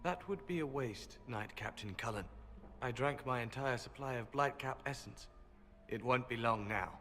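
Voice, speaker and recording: An actor playing an emotionless, quietly loyal servant in a video game, talking in a flat, deliberate, detached monotone. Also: male voice, mid-range voice. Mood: calm, detached. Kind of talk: dramatic video game acting. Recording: long hall reverb, faint noise.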